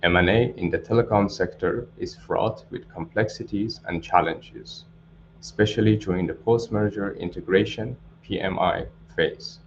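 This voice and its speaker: A man hosting a webinar, reading a formal scripted introduction in a measured way. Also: deep voice. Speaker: webinar host. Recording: dull lo-fi audio, clear background noise.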